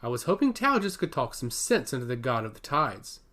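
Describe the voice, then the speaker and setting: Man voicing a blunt, lively fantasy envoy. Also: mid-range voice, a little fast. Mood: earnest. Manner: direct, to the point. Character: blunt, determined male island envoy in fantasy tale.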